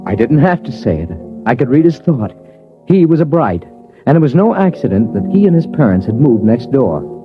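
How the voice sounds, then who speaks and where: A man narrating and playing a worried father in a 1950s radio drama, wry and anxious. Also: medium pitch. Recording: dull old radio recording.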